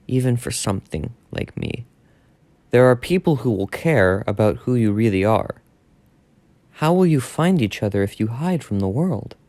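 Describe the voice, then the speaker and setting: A man voicing a gentle, sincere non-human being in a dramatic webcomic dub, reflective and caring. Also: mid-range voice, dry recording, close to an everyday voice.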